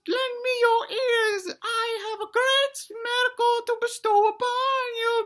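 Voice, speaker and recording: A woman's voice: very high-pitched, fairly bright tone, pitched above the performer's own voice, heavily acted. A woman voicing an earnest, frightened little boy dinosaur who speaks in grand, theatrical phrases in a comic story. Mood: grand, solemn.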